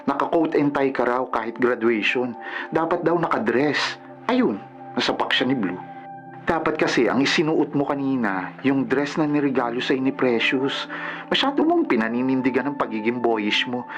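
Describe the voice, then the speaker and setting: An actor voices a young man in a radio drama, a concerned friend who talks frankly and chattily. His pitch is average, and faint music runs beneath the dialogue. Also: sing-song, normal speed, highs cut off, natural voice. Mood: chatty, frank. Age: young adult.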